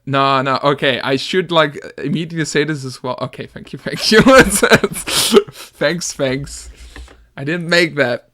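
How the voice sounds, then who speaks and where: Young man, danish accent, relaxed, chuckles a lot, home studio. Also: fairly high pitch, unhurried. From Denmark. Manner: laid-back, laughs often, hesitant restarts. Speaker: music producer streamer. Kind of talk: casual chat while producing.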